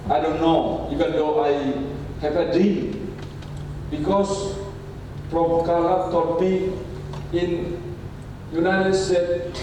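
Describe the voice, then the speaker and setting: An older man, a native Indonesian speaker, giving a closing speech as a professor, pausing often with "uh". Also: on the high side, fairly even intonation. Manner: halting, with frequent "uh" pauses. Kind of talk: speech at a lecture podium. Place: university lecture hall. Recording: echoey hall from far off, noisy.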